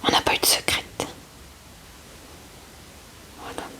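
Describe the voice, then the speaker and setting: A woman whispering ASMR commentary in a breathy, hushed murmur, close to the microphone. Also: slow pace. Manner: hushed, murmuring short asides to viewers. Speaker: ASMR creator.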